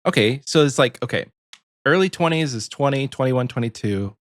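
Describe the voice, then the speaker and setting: A man in his early thirties co-hosting a podcast, easygoing and quick to laugh. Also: medium pitch, expressive, dry recording, studio quality. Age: 30s. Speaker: podcast co-host. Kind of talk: casual chat.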